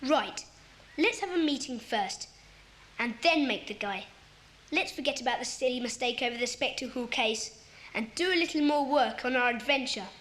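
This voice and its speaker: A woman playing a bossy, earnest schoolchild who leads a secret club in a children's audio drama, speaking briskly and commandingly. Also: sits high, lively intonation, a bit bright. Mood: brisk, organising. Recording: faint background noise, cassette audio drama.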